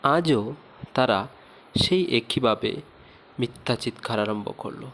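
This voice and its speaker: A man's voice: medium pitch, expressive, slow pace, a bit bright, slight background noise. A man narrating a scripted moral tale for a cartoon as its storyteller.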